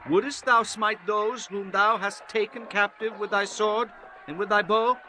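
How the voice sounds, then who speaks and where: A man's voice: high voice, steady tone, measured pace, pushed and bright, a little hiss or hum, full character voice. A man playing commanding prophets and kings of ancient scripture in a formal, declamatory way. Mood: stern. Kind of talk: dramatized scripture acting. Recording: music bed under the voice.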